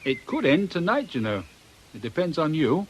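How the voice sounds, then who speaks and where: A man playing a brisk, sceptical police inspector in a radio drama, with a British accent and a dry, colloquial manner. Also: fairly high pitch, big pitch swings, slight background noise. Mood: brisk, persuasive. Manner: dry, matter-of-fact, colloquial.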